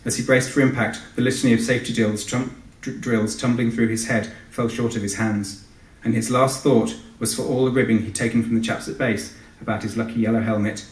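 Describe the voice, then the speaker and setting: A man's voice: medium pitch, steady tone, a little fast. A man, an author giving a live reading from his novel. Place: live event in a large venue. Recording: echoey hall, distant microphone.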